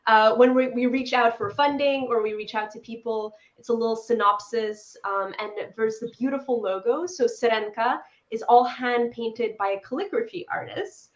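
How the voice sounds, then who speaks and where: A woman in her thirties, born in Poland, talks about her work with enthusiasm as an interview guest. Her voice sits a little high and comes through a video call. The room sounds echoey and the audio is somewhat dull. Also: normal speed, few pauses. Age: 30s. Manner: enthusiastic, upbeat. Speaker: animator guest. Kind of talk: casual interview chat.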